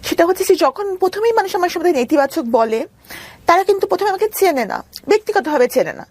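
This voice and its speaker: Woman, Bangladeshi accent, high voice, earnest, explanatory, personal interview storytelling. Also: sing-song, fast talker, a little mellow. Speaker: interview guest.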